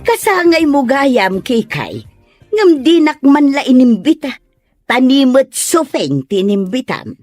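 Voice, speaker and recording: A woman's voice: high-pitched, sing-song, takes it slowly, a little mellow, faint music behind, full character voice. A woman with an Ilocano Filipino accent playing a meddlesome, insistent village gossip in an audio comedy-drama. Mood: coaxing. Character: meddlesome, grudge-holding village gossip woman, pushy party guest.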